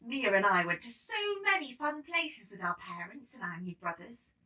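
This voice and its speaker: Animated, bright-voiced woman reading a story. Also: mid-range voice, normal speed, distant mic. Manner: animated, emphatic storytelling with acted-out dialogue. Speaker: storybook reader. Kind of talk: expressive scripted children's story narration.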